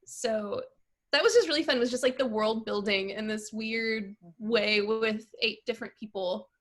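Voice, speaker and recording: A woman's voice: a little high, moderate pace. A woman chatting in a breezy, informal, anecdotal way. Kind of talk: casual anecdotal chat.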